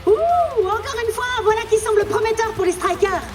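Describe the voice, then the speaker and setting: A woman playing an excitable football commentator in a French cartoon dub. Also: very high-pitched, moderate pace, over music. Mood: excited.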